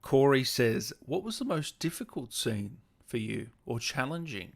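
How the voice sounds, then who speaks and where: A man's voice: mid-range voice, big pitch swings, unhurried, gravelly, slightly rough. A man hosting a casual livestream interview, reading out viewer questions and reacting informally with exclamations.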